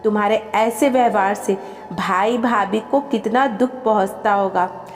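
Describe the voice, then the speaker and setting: A woman reading a scripted, emotional family story as an expressive storyteller. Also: mid-range voice, unhurried. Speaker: storyteller and channel host. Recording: long reverb with music behind. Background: background music.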